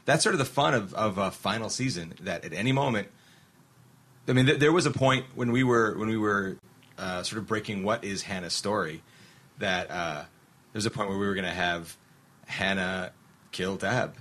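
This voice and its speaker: Man, middle-aged, low husky voice, podcast host, casual talk, frequent laughs and restarts. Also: a bit bright. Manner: laughs often, halting with many restarts.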